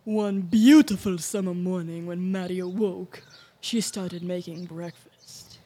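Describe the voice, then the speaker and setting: Mellow female story narrator. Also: lowish voice, fairly even intonation, a little slow. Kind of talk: scripted story narration of youth radio play.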